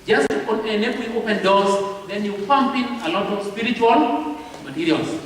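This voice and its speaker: A man's voice: high-pitched, animated, slight background noise. Kenyan man lecturing in an echoey hall. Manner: speaks slowly and deliberately, checking in with the audience. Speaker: publishing house representative. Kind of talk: training talk at a convention. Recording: echoey hall recorded from far off.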